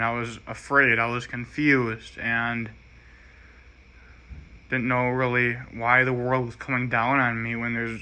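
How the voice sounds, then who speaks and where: An American man giving a rambling, halting personal monologue in an earnest, aggrieved manner. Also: medium pitch, bright, forceful tone.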